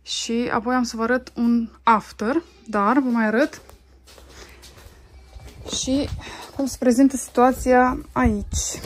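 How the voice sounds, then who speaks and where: A woman in her thirties from Romania, chatting casually through her cleaning while filming on her phone at home, pausing often with fillers as she works. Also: fairly high pitch, steady tone, a little hiss or hum. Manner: chatty, talks while doing chores, frequent pauses and fillers. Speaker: family vlogger. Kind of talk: casual clean-with-me vlog narration. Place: family home, indoors. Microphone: phone camera.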